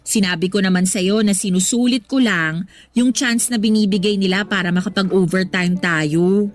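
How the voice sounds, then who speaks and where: A woman's voice: medium pitch, normal speed, close to an everyday voice. A young woman with a Filipino accent playing a hardworking, evasive office employee in a radio drama. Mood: defensive, evasive.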